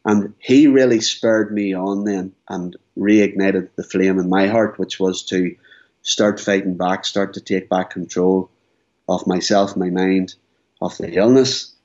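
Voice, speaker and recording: A man's voice: deep voice, fairly even intonation, a little slow, a little mellow. A man in his forties, a guest in an interview, speaking earnestly and often repeating words as he goes. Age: 40s. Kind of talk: candid interview. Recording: dull lo-fi sound.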